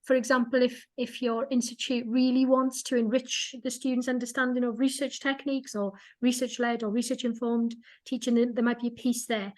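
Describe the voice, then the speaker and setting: A woman's voice: fairly high pitch, little pitch movement, brisk, few pauses, darkish tone. A woman speaking in a webinar, explaining with enthusiasm and frequent restarts and repeated words. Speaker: guest speaker. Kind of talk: webinar talk.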